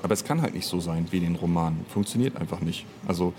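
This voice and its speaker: A man's voice: sits low, fast, noisy background. A middle-aged man in a casual interview, thinking aloud and often breaking off to rephrase. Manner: thinking aloud, hesitant, self-interrupting, reflective. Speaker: audio drama writer and director being interviewed.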